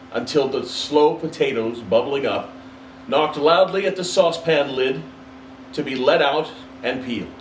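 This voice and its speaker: A man reading a classic story aloud as narrator, in an expressive storybook style. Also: medium pitch. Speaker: story reader. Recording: roomy, distant mic, faint hiss.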